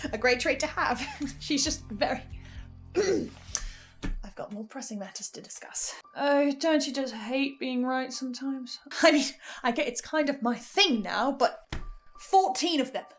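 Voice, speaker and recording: High-voiced animated woman with music behind. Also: a little slow. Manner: animated, gushing asides, self-interrupting. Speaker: host of a podcast reaction show. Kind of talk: casual reaction commentary and recap.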